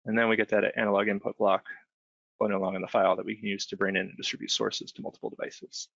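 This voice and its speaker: A man with a heavily fry-laden, lowish voice walks through software like a trainer on a webinar. He explains each step in a conversational way, tossing in little check-in questions. The sound is close and dry, slightly dulled. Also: little pitch movement, fast talker, dry recording. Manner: conversational, explanatory, frequent check-in tags like "right?". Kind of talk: informal step-by-step software demonstration. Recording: dull, band-limited close dry voice recording.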